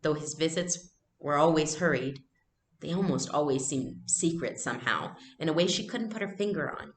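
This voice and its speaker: A woman's voice: sits low, normal speed. A woman reading a story aloud as narrator, in storybook narration style. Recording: echoey room.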